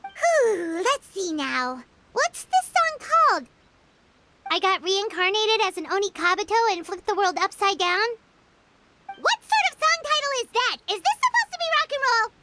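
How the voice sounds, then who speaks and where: A woman voicing a tiny, excitable, bossy floating fairy girl in an anime video game, with a squeaky childlike voice. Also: normal speed, noisy background. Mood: indignant. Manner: exclamatory, speaks of herself in third person. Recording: loud music behind.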